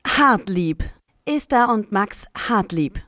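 A woman's voice: medium pitch, lively intonation, takes it slowly, fairly bright tone, no echo, clean studio sound. A woman voicing a stern, unsmiling middle-aged woman who speaks primly and disapprovingly. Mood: cool. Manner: prim, disapproving.

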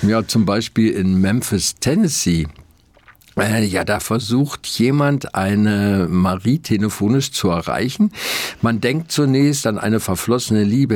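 A man's voice: fairly low pitch, darkish tone, no room sound. A man working as a music editor on radio, chatting enthusiastically about music history with many filler sounds. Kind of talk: radio feature chat. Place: radio studio. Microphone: studio mic.

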